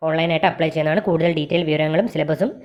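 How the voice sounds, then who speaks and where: A woman's voice: low-pitched, rapid-fire, highs cut off. A woman with a Kerala Malayali accent presents an informational explainer, reading out details in a matter-of-fact way. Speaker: presenter of job vacancy videos.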